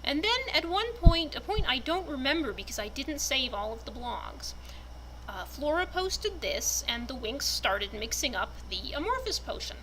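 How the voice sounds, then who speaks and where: A woman's voice: sits high, very animated, normal speed, sharp tone, a little hiss or hum, low-quality recording. A woman speaking expressively and with enthusiasm as a fan narrator, in the manner of a dramatic reader. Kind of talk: dramatic reading and casual fan commentary.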